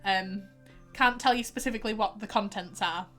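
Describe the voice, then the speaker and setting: A woman's voice: mid-range voice, moderate pace, bright, forceful tone, faint music behind. A young woman with a light Yorkshire accent, chatting and sighing playfully while streaming a game. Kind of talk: casual gameplay commentary.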